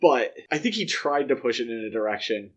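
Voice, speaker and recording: A man's voice: mid-range voice, sing-song, brisk. A man co-hosting a podcast, casually discussing films in a conversational, explanatory way. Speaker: podcast co-host.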